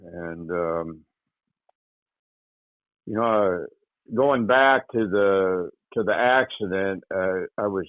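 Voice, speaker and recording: A man from Michigan, an interview guest, recounting a hunting accident in casual, halting speech with frequent pauses. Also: low-pitched, no room sound. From Michigan, USA. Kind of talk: casual spoken recollection. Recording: tinny phone line, dry.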